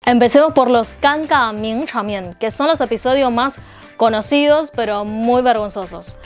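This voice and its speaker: A woman's voice: on the high side, moderate pace, soft background music. A young woman from China, hosting a casual explainer video with wry asides, on a lapel mic indoors. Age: young adult. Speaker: host. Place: indoor room.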